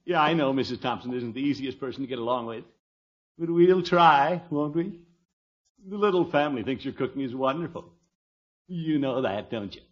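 A man's voice: medium pitch, natural voice. A man playing a fussy, genial middle-aged uncle with a blustery, sputtering delivery in a 1940s radio comedy. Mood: coaxing. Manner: blustery, sputtering, with drawn-out exclamations. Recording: muffled, noisy old radio recording.

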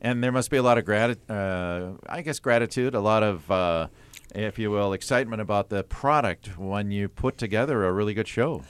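A man's voice: lowish voice, brisk, clear, resonant tone, dry recording. A man hosting a radio interview, asking questions and searching for words with frequent fillers. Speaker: radio host.

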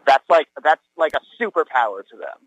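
A man's voice: on the high side, big pitch swings, fairly quick. A man in his thirties, a teacher, chatting wryly and rambling with lots of fillers, on a phone call from a sheltered spot outdoors. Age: 30s. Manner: rambling, wry, many fillers and restarts. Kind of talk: casual phone chat.

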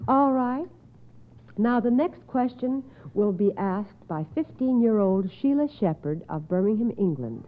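Female radio quiz host, medium pitch, formal and encouraging, thin vintage broadcast sound. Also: expressive, measured pace. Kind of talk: live radio quiz hosting. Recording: tinny, narrow old radio broadcast.

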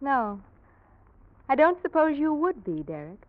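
A woman's voice: sits high, sing-song, unhurried. An actress playing a cool, proud, defiant young woman with a pointed, mocking manner in 1940s radio drama. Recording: dull vintage radio recording.

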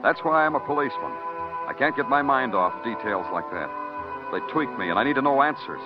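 A man's voice: mid-range voice, animated, fairly quick, close to an everyday voice. An American man playing a hard-nosed police detective who narrates moodily and questions curtly in a radio crime drama. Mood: brooding. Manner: clipped questioning, moody poetic narration. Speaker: narrator and lead actor. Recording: muffled vintage radio recording, loud music.

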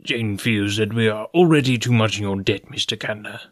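A man's voice: fairly low pitch, moderate pace, no room sound, lightly acted. Man as elderly male professor, anxious, fussy, tutting, courteous, storybook character, dry close sound. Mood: courteous, resigned. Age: older.

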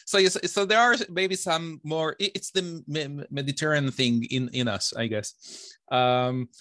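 A man's voice: a little high, a little slow, bright, forceful tone, no echo. A man in his forties with an Israeli accent, answering interview questions with many fillers and restarts. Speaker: author guest. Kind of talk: interview conversation.

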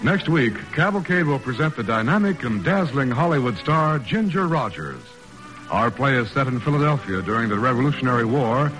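A man's voice: medium pitch, low-quality recording. A man delivering a scripted promotional announcement in 1940s radio style. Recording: old radio broadcast with music behind.

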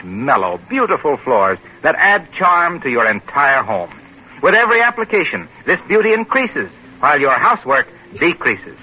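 A man reading a radio commercial as announcer, a smooth persuasive pitchman. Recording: muffled old radio broadcast.

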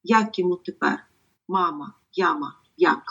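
A woman translator from Ukraine, a native Ukrainian speaker, who reads a poem and then speaks in a halting way with many pauses. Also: lowish voice, sharp tone, muffled recording. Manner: halting, hesitant speech with many pauses and fillers. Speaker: poetry translator.

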